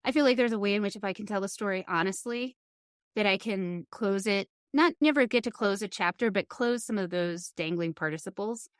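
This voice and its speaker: A middle-aged woman talks in a candid podcast conversation. Her pitch sits in an ordinary female range, and she thinks aloud earnestly with pauses. The sound is dry, with little room around her. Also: clear, resonant tone, dry recording. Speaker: podcast host.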